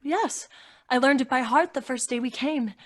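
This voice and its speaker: A woman's voice: high-pitched, moderate pace. A young woman playing an earnest, kind and fearless young girl in an old-time radio drama. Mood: gentle, solemn. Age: young adult. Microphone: studio microphone with pop filter, over video call.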